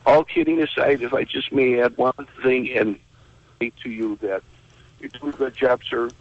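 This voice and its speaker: A man's voice: medium pitch, moderate pace, no echo. A man calling into a radio show, sarcastic and then earnest, rambling. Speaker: caller. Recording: muffled phone line.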